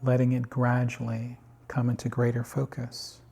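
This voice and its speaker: A man guiding a meditation in calm, unhurried short phrases with long pauses, his voice slightly husky. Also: mid-range voice. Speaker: meditation guide. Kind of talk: guided body scan meditation.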